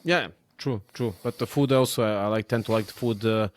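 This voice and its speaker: A man's voice: fairly low pitch, a little hiss or hum. Chatty man who laughs a lot. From Serbia. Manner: laughs often, halting with restarts and fillers. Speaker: podcast host. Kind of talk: casual conversation.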